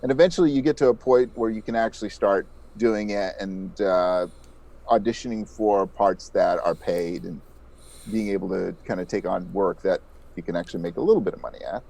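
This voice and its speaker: A man's voice: sits low, a little fast, creaky, warm tone. A middle-aged man, a voice actor and narrator, talking conversationally as an interview guest in an explanatory, enthusiastic way.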